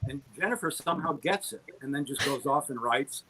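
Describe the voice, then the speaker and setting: A man's voice: medium pitch, expressive, a little fast, bright, forceful tone, slightly rough, low-quality recording. A middle-aged man talking as an interview guest in an enthusiastic, rambling, self-deprecating storytelling way on an online call. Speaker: guest, audio drama producer. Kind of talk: conversational interview talk.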